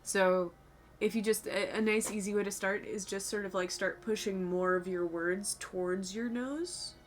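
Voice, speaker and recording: A young woman streamer with a low, fried voice, chatting casually with her viewers in a rambling, loose way, her voice a little tired and sore. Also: fairly even intonation, moderate pace, slight background noise. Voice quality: low voice with vocal fry. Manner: rambling, talks to chat, swears casually. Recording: faint music behind.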